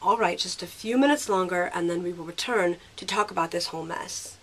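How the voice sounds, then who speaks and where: A woman's voice: on the low side, fairly quick, close to an everyday voice. A woman playing a sensible, caring older teenage girl who reassures and reasons, in an audio drama. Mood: reasoning.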